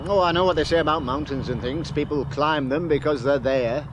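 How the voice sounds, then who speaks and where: An actor playing a caring older father with a Northern English accent, slow and plainspoken, in a radio play. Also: a little high, lightly acted. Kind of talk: 1970s radio drama. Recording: noisy background ambience.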